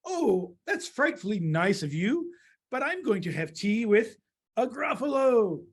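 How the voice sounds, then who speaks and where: Storybook mouse, read by a storyteller: polite, clever, unafraid, teasing and drawn-out. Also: medium pitch, sing-song, slightly rough. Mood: polite, confident.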